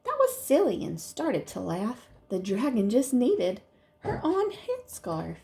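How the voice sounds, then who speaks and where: A woman teacher reading a storybook aloud to young children, expressive and explaining things in simple terms. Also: a little high, slow, vocal fry. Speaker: second-grade teacher.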